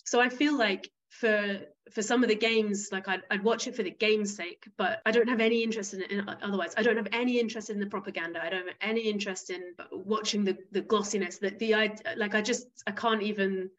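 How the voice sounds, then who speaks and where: A woman's voice: mid-range voice, fairly even intonation, a little fast, hardly any pauses, a bit bright, highs cut off. Frank woman, club chief. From Isle of Wight, England. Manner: frank, restarts often, laughs. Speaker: football club chief executive. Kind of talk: interview guest.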